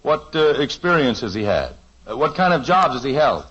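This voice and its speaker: A man's voice: medium pitch, very animated, normal speed, a bit bright, breathy edge. An actor playing a flustered, good-natured suburban father in a 1950s radio sitcom, hesitant and stalling with fillers, heard on a dull lo-fi old radio recording.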